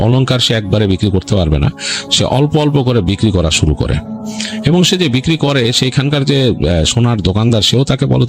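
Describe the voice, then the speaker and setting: A man with a Bangladeshi accent narrates a story informally, in a colloquial, rambling way with explanatory asides. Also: medium pitch. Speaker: ghost story narrator on a radio-style show.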